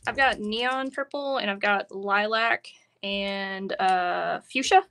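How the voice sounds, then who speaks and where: Bright-voiced woman giving a craft demo. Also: medium pitch, slow, vocal fry, smooth. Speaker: craft instructor. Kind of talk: hands-on live demonstration, casual. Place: work table indoors, live online stream.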